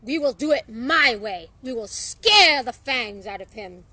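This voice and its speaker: A woman's voice: a little high, expressive, measured pace, pushed and bright, dry recording, full character voice. A woman voicing a stern old vampire patriarch in a children's story, emphatic and commanding. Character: stern, domineering old vampire great-grandfather.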